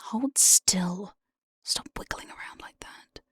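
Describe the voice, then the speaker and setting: A woman playing an evil, playful demon jailer in an ASMR roleplay, breathy and often whispering, with a sly, mock-sweet menace, close on the microphone. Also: medium pitch, takes it slowly, sharp tone, no echo, heavily acted. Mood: teasing menace. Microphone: close binaural studio microphone, dry.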